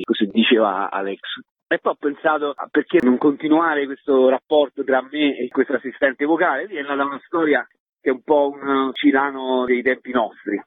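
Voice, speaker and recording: A man's voice: mid-range voice, no echo. A man, chatting casually and ramblingly as a theatre performer being interviewed. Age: 50s. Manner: colloquial, rambling. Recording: muffled, phone-like line, husky and dry.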